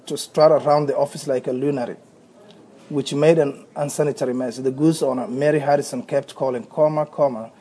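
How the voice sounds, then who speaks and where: A man's voice: medium pitch, dark tone, breathy edge. A man in his thirties from Somalia with a Somali accent, reading a short passage aloud and then talking freely, often hesitating and repeating words. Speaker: accent archive speaker.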